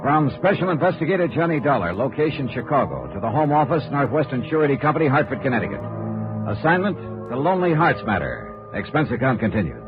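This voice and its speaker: An actor playing a tough, wry insurance investigator in 1950s radio noir, clipped and dry. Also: male voice, medium pitch, big pitch swings, fast, natural voice. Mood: brooding narration. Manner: clipped, hard-boiled, dry. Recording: dull vintage radio recording, faint music.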